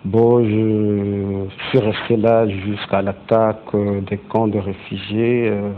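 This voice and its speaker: Flat low-voiced man, tinny sound. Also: takes it slowly. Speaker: panel guest. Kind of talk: interview answers. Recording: tinny phone-like sound with faint noise.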